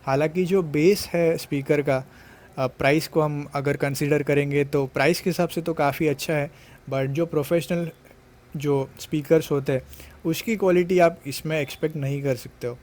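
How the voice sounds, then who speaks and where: A man's voice: fairly high pitch, steady tone, moderate pace, a little mellow. A man narrating a product unboxing and review, explaining things step by step and mixing in English words.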